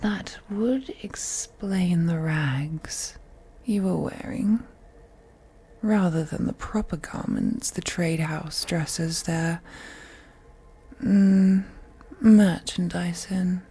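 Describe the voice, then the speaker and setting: A woman voicing a wealthy, possessive vampire in an audio roleplay, breathy and often whispering, with a teasing, haughty and condescending manner. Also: on the low side, extremely slow, warm tone, exaggerated voice. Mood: teasing, probing. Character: wealthy, seductive, possessive female vampire toying with captive. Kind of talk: scripted audio roleplay monologue.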